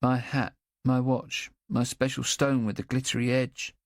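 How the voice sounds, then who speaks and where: A man voicing an anxious, simple-minded young boy in an audiobook, talking in a halting, earnest way. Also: medium pitch, flat delivery, no echo, studio quality, pitched above the performer's own voice, close to an everyday voice. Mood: earnest chatter. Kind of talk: audiobook character voice.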